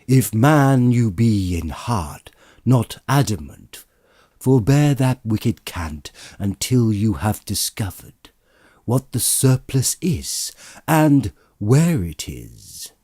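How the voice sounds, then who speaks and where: A stern, righteous ghost acted out by a man from a storybook reading. The delivery is solemn and drawn out, swinging widely in pitch, with heavy emphasis and a dry, close sound. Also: big pitch swings, slow, dark tone, dry recording. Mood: scornful, rebuking. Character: stern, booming, righteous spirit rebuking a miser. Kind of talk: dramatized audiobook of a Victorian ghost story.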